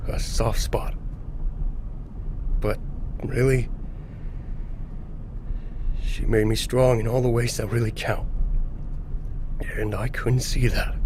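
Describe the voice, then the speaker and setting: An actor playing an earnest, protective young man in a zombie apocalypse audio drama, talking in a halting, heartfelt way. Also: mid-range voice, darkish tone, a little hiss or hum, natural voice. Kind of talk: amateur audio drama acting.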